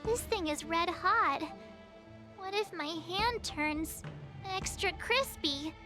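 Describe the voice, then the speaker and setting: A woman voicing a perky, expressive teenage girl adventurer in a video game, bubbly and exclamatory. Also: extremely high pitch, slow. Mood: chatty, teasing. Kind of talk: animated game voice acting. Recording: loud game music behind.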